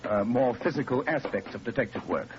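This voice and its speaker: An actor playing an aloof, precise English master detective in a clipped, imperious 1940s radio manner. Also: male voice, medium pitch, fast talker, natural voice. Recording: muffled, noisy 1940s radio recording.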